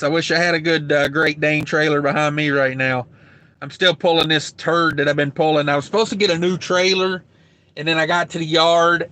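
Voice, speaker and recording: Bright-voiced trucker chatting loosely. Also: male voice, on the high side. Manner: rambling, loose, lots of fillers and restarts. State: voice getting dry and rough. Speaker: truck driver livestream host. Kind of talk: casual livestream chat reading comments.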